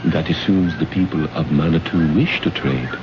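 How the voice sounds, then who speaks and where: A dull, noisy old radio play recording carries a calm alien envoy with a deep voice. He speaks deliberately and gravely, guarded and cryptic. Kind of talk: old-time radio drama acting.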